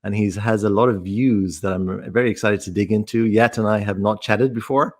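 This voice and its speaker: A man hosting a podcast, introducing an interview conversationally in measured, thoughtful phrases. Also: lowish voice, fairly even intonation. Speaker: podcast host.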